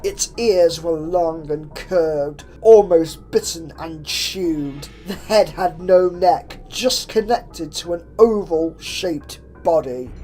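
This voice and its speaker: A woman narrates a horror story in a theatrical, suspenseful way, with drawn-out phrases and hushed drops. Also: on the low side, soft background music, poor audio. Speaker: fan fiction narrator. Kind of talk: dramatic horror story reading. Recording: clear background noise with ambience.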